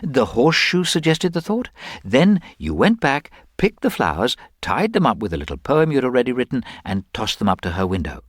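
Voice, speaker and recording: A man playing a mild, shrewd government investigator in a detective story, speaking politely and deliberately with small murmured hesitations. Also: mid-range voice, sing-song, no echo, clean studio sound, pitched above the performer's own voice. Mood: quietly insistent. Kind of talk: dramatised audiobook detective story.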